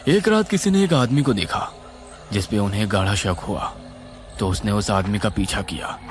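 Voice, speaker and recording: A man narrating a scripted horror story in a suspenseful, dramatic, expressive way. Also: on the low side, normal speed. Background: music.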